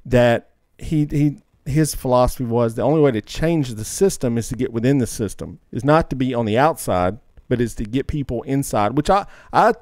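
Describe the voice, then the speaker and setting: A man's voice: medium pitch, a little mellow, dry recording, studio quality. A man co-hosting a casual podcast, emphatic and slangy, often repeating himself to press a point. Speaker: podcast co-host. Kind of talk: casual opinionated podcast chat.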